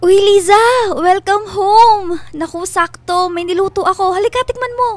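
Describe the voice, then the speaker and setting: An actress playing a chirpy, over-helpful family friend in a radio drama. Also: female voice, extremely high pitch, moderate pace, no room sound. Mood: gushing. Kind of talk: Filipino radio drama acting. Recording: music behind the voice.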